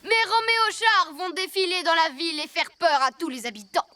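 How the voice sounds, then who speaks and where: A woman's voice: very high voice, fairly quick. A woman voicing a boastful young boy mad scientist, grandiose and theatrical, in a children's cartoon. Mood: gloating, scheming. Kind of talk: animated children's cartoon dub. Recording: loud music behind the voice.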